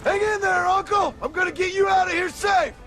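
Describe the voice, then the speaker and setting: An actor playing a protective frontier rancher and ex-outlaw with a rural American Western drawl and a plainspoken, commanding manner. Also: male voice, very high voice, a little fast, loud music behind the voice. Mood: urgent. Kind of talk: video game voice acting, Western drama.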